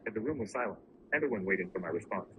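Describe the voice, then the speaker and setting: A man narrating a first-person story in a steady, even storytelling delivery. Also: lowish voice, very fast. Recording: muffled lo-fi recording with clear background noise.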